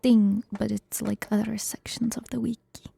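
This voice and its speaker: Whispering woman, breathy and mellow, slow, dry close sound. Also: on the high side, level tone, no room sound. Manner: hushed, chatty asides. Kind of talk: casual fan table read.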